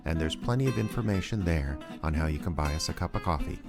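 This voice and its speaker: A man co-hosts with a widely varied, animated delivery, full of comic incredulity. Loud plucked guitar music runs behind his banter. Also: very deep voice, quick pace. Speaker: co-host. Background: loud plucked guitar music and old commercial audio.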